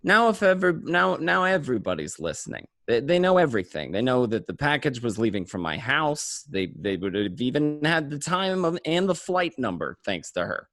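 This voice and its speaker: A man's voice: mid-range voice, very animated, normal speed, hardly any pauses, a bit bright, no room sound, clean studio sound. An actor playing a hot-headed, anxious gangster in a radio play, telling his story in profane, stammering bursts. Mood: agitated, bitter. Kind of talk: first-person crime narration and dialogue, radio play.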